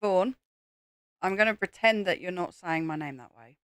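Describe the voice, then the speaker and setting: A woman's voice: fairly low pitch, moderate pace, a bit bright, clear, resonant tone, dry recording, studio quality. A woman co-hosting a livestream, teasing and mocking her partner in casual chat. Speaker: co-host.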